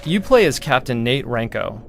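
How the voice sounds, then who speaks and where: Gravelly male game producer presenting a demo. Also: medium pitch, very animated, moderate pace, a little hiss or hum. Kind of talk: explanatory gameplay walkthrough commentary.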